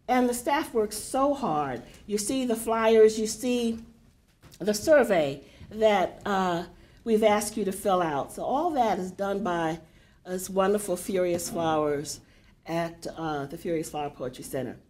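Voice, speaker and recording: An older woman directing a poetry center, giving chatty welcoming remarks with a big voice and many fillers to a live audience in a university hall. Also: mid-range voice. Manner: warm, chatty, unhurried with frequent fillers.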